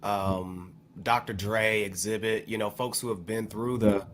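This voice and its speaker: A man co-hosting a casual podcast discussion, speaking in a measured, halting way as he builds his points. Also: on the low side, steady tone, slight background noise. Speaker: podcast co-host.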